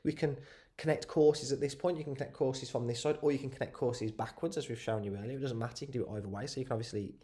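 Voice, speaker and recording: A man with a head cold and a slightly nasal voice, walking through software on screen in a relaxed way and often correcting himself. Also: medium pitch, fast. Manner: relaxed, self-correcting, lots of fillers. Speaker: software tutorial presenter. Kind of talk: screen-share software walkthrough.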